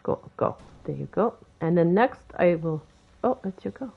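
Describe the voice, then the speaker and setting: Boston-accent lady rambling thru a game tutorial, lowish voice, kinda muffled lo-fi audio. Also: animated, normal speed, vocal fry, breathy edge. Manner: chatty and rambling, talks to objects in the game. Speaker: game video creator. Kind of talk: casual gameplay tutorial commentary.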